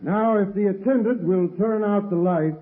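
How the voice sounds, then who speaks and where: A man playing a crisp confident prosecutor. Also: sits high, moderate pace, natural voice. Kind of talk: 1930s radio acting. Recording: tinny scratchy 1930s radio recording.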